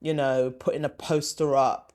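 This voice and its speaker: A woman, born in Ghana, a former TV comedy commissioner answering as a guest, emphatic and animated. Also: extremely low pitch, normal speed. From born in Ghana, raised in West London. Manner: emphatic and animated, acts out quotes. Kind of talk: conversational interview answer.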